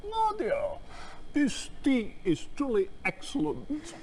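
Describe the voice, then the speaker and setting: Gloating Soviet dictator, slow and theatrical, high-pitched, volatile, for a game cutscene. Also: male voice, wildly swooping pitch, husky, heavily acted. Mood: smug. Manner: theatrical, deliberate, swinging from purring to shouting. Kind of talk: 1990s video game cutscene acting.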